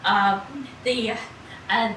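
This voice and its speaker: A woman's voice: mid-range voice, fairly even intonation, very slow. A middle-aged woman chatting casually and playfully as she cooks on a livestream, rambling and often repeating her words. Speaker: cooking streamer. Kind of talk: casual livestream chat while cooking. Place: small indoor kitchen room. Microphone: distant, several feet away. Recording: roomy echo, distant mic, background noise.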